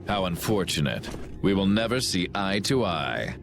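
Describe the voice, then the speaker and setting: A man voicing a cold, grandiose villain commander in a video game, speaking in a pompous, measured, theatrical way. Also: low-pitched, sing-song, over music. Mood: cold, imperious.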